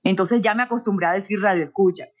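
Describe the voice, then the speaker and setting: A middle-aged woman with a softened Colombian Caribbean accent, chatting expressively as an interview guest. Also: mid-range voice, fast. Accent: Colombian Caribbean coast, somewhat neutralized. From Barranquilla, Colombia. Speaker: interview guest, radio host by profession. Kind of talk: conversational interview, personal anecdotes. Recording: dull lo-fi internet call audio.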